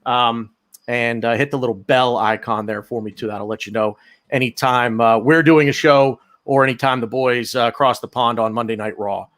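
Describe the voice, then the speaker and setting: A man's voice: mid-range voice, fairly even intonation, normal speed, a bit bright. A man hosting a podcast in a loose, joking way, rambling through introductions in casual chat. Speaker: podcast host.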